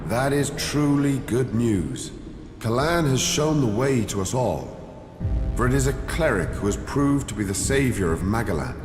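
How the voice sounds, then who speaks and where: A man's voice: medium pitch, expressive, a little slow, lightly acted. A man playing a devout, formal and reverent cleric in a fantasy video game. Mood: reverent, grateful. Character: devout, solemn male cleric of a fantasy faith. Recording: echoey long hall reverb, music behind.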